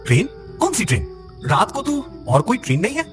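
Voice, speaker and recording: A man voicing an uneasy, puzzled male traveller in an animated horror story, questioning and jittery. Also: high-pitched, a little fast, faint music behind, voice pushed up.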